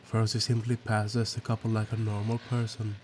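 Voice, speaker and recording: Flat-voiced man narrating. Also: fairly low pitch, moderate pace, slight background noise. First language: Swedish. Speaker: YouTube film analyst. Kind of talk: scripted narration. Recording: voice-over with guitar music behind.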